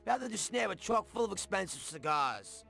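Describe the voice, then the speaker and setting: An actor playing a cocky, excitable mob henchman in a video game cutscene, blunt and slangy, over music. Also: high voice, a little fast, creaky, exaggerated voice. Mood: exasperated. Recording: background music under the dialogue.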